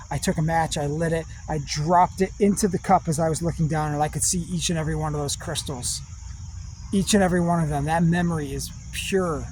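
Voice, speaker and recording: Man, rambling spiritual talk, drawn-out pauses, a bit higher-pitched, faint outdoor insect noise. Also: a little mellow, poor audio. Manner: slow, drawn-out, meditative phrasing with long pauses. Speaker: spiritual speaker.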